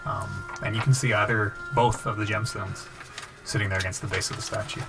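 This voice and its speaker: A man running a tabletop game as game master, improvising narration and banter with pauses mid-sentence. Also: on the low side, level tone, vocal fry. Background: music.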